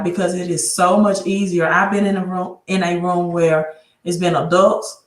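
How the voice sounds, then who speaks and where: A woman's voice: fairly low pitch, roomy sound. A woman hosting a casual credit livestream from her laptop, chatty and often restarting her sentences. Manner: chatty, restarts often, talks while fixing tech. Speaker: credit educator and livestream host. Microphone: laptop mic.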